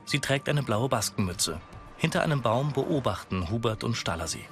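A man narrates in a neutral, scripted manner, describing scenes as they unfold. His voice sits at a middle pitch, with loud music behind it. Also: animated, normal speed.

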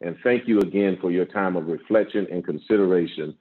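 A man in his fifties, a guest pastor, preaching a measured sermon with a strong voice from an office over a video call, rising with emphasis and repeating words as he builds. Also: low voice. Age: 50s. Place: office with framed certificates, indoors. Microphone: video call, laptop camera. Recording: tinny phone-like video-call audio.

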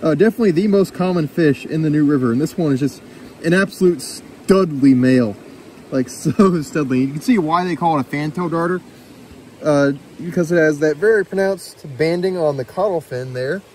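A man's voice: fairly high pitch, moderate pace, darkish tone, a little hiss or hum. A young man narrating his fishing outdoors by a mountain creek, chatty and enthusiastic, recorded on a body-worn action camera. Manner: enthusiastic, chatty, filler-laden. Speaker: fishing vlogger.

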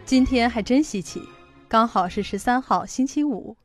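A woman's voice: on the high side, animated, normal speed, soft background music, lightly acted. An actress in an audio drama playing a chatty, fretful middle-aged mother who sells insurance. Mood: chatty, matter-of-fact. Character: fussy, protective middle-aged working mother, insurance saleswoman.

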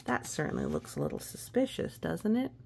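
A woman reading a children's storybook aloud expressively, with gentle asides and hushed, suspenseful phrasing. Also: lowish voice, brisk, creaky, a little hiss or hum. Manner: expressive, hushed suspense, often dropping to a whisper. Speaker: storybook reader.

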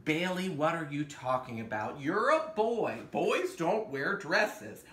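A man's voice: on the high side, big pitch swings, slow pace, fairly bright tone, exaggerated voice. Man as a stern, curt storybook dad. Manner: curt, scolding. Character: gruff, dismissive, stern father. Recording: roomy echo, some background noise.

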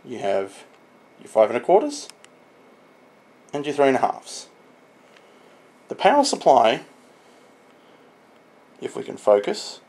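A man's voice: medium pitch, huge pitch swings, slow, pauses often, darkish tone. A young man giving an informal, explanatory product walkthrough on camera as a video presenter. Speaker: video presenter showing computer parts.

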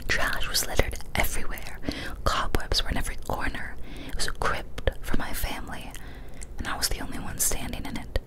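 A young woman whispers a horror story as an ASMR reading, soft, breathy and fully unvoiced, close to a studio microphone. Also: low-quality recording. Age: young adult. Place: small decorated room indoors. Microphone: large studio mic with foam cover, very close.

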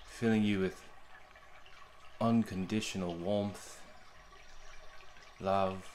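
Male meditation guide, gentle and even-toned, very slow delivery, faint background noise with water sounds. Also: sits low. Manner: gentle, unhurried, with long pauses between short phrases. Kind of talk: guided meditation, soothing narration.

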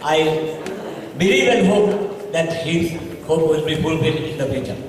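A middle-aged man with a Bangladeshi English accent giving earnest, halting feedback as a competition judge at a podium microphone in a large indoor hall. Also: fairly high pitch, crowd noise. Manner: earnest, advisory, halting with frequent fillers. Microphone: podium microphone, filmed from far. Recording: echoey hall recorded from far off, audience noise.

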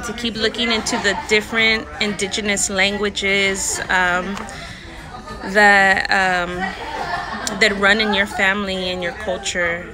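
A woman in her thirties teaching vocabulary in a casual lesson, repeating each word slowly and carefully, recorded on a phone held close outdoors. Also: medium pitch, rough recording. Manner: slow, careful word-by-word repetition. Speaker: language learner sharing lessons. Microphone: phone held close, selfie framing.

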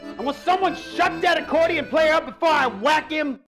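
A woman's voice: high-pitched, lively intonation, normal speed, soft background music. A woman playing a stressed, grumpy mob boss father, weary and curt, in a comedic radio play. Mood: frantic, weary.

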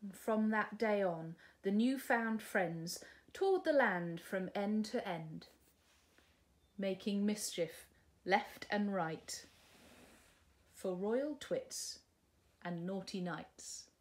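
A woman's voice: medium pitch, expressive, slow pace. A British woman in her forties narrating a children's storybook expressively, as a teacher would.

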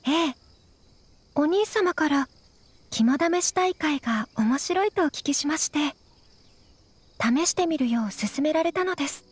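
A woman's voice: high-pitched, animated, a little slow, pauses often, no room sound. A woman voicing a refined, modest young noblewoman in a video game, very polite, formal and slightly hesitant. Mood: polite, courteous. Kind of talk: Japanese video game voice acting.

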